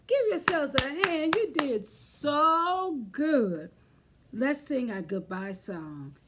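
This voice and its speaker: High-voiced, slow-paced woman hosting children's storytime. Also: lively intonation, noisy background. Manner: encouraging, sing-song, addressing young children. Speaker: children's library storytime host. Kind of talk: children's storytime with rhymes, songs and craft talk.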